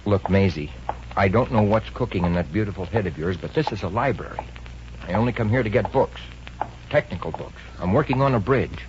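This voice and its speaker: An actor playing an earnest, exasperated young boyfriend with a studious streak in 1950s radio comedy. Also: male voice, lowish voice, a little fast, natural voice. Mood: hushed scolding. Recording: muffled, noisy 1950 radio recording.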